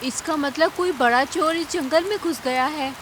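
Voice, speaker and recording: A woman's voice: sits high, normal speed, noisy background, close to an everyday voice. A woman voicing a concerned, clever female bird in a cartoon, speaking earnestly. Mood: suspicious, concerned. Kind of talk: cartoon character acting.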